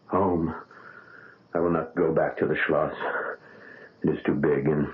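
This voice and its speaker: Shaky piano player, really deep voice, old tinny radio. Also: male voice, fairly even intonation. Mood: hesitant. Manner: anguished, halting, stammering. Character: anguished young pianist, hands grafted. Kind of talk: 1970s radio drama acting. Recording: narrow phone-like old radio broadcast.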